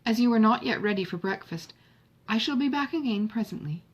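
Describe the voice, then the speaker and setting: A woman voicing a modest, dry young gentleman in an audiobook of a Regency novel, with formal, measured phrasing. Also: a little high, animated, husky, pitched above the performer's own voice. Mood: matter-of-fact. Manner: measured, emphatic listing, formal period diction. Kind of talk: audiobook character dialogue.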